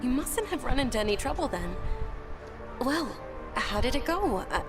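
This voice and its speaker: A woman voicing a gentle, caring young noblewoman who speaks softly and courteously. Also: high-pitched, big pitch swings, normal speed. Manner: soft-spoken and courteous. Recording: game audio with music behind.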